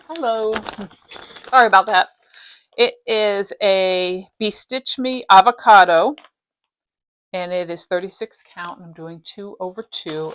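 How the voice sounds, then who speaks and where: Slightly raspy lowish woman. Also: fairly bright tone, poor audio. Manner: chatty, rambling, self-interrupting. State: slightly raspy from dry throat. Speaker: flosstube host. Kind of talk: casual show-and-tell chat. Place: craft room at home.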